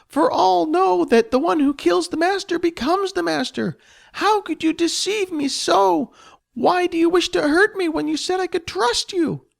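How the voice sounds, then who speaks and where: A man's voice: measured pace, vocal fry, full character voice. Spleef, a man doing a wailing little goblin girl. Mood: distraught pleading. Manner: high, wailing, pleading. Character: frightened tearful female goblin, small and pleading.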